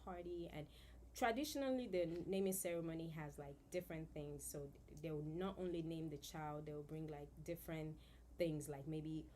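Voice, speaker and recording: A middle-aged woman from Nigeria speaks in a slightly low voice. She tells stories in a relaxed, chatty way, full of fillers. Faint background noise runs under the recording. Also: normal speed. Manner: warm storytelling with many fillers. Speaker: guest. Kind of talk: casual podcast conversation.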